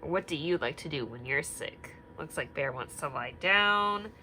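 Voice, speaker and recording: A woman teacher talking gently to young children, singing little hand-washing songs and reading a picture book aloud with many questions. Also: deep voice, lively intonation, moderate pace, slight background noise. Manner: gentle, sing-song, asks many questions.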